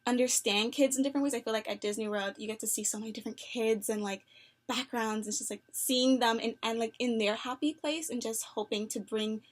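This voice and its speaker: A young adult woman answering phone interview questions in an earnest, upbeat way, with many fillers and soft laughs. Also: a little high, steady tone, fairly quick. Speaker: job applicant.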